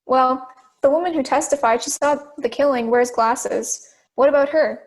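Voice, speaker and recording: A young woman acting a calm, persistent juror in an audio drama. The voice is high, with a deliberate, questioning delivery that reasons step by step. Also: lightly acted, rough recording. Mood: probing.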